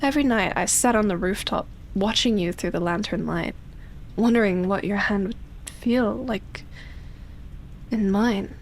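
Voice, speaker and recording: Slow, breathy, yearning female vampire, acted by a woman. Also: mid-range voice, a little hiss or hum, close to an everyday voice. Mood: tender, yearning. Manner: slow, hesitant, sighing delivery with long pauses. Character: ancient lonely female vampire, tender, yearning, seductive. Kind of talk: intimate ASMR roleplay audio drama.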